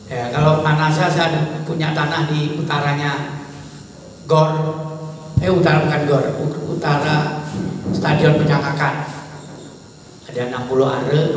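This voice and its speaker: An older man in his sixties gives rambling, fatherly advice to his students, his voice sitting a bit higher than most men's. He sounds heard from some distance across a big hall, with a long, heavy echo trailing every phrase and a faint hiss underneath. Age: 60s. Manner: rambling, conversational, fatherly asides. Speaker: pesantren head. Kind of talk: informal advice talk to students and alumni. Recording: echoey hall, distant mic, faint noise.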